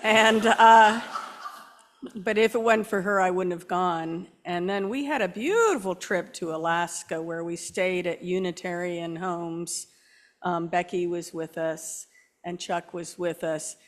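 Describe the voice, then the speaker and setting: A woman's voice: fairly low pitch, unhurried. A woman in the congregation casually sharing travel memories in a church, loose and chatty. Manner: chatty, loose storytelling with fillers. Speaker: congregation member. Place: church sanctuary.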